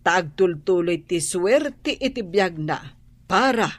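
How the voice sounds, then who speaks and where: A woman with an Ilocano accent playing a kindly, unhurried older aunt in a radio drama, approving and expressive. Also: fairly low pitch.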